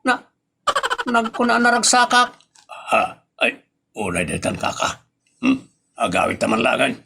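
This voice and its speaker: A man acting an excitable, boastful gambling husband in a comedy audio drama, bantering defensively with exaggerated delivery. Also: medium pitch, a little slow, creaky, heavily acted.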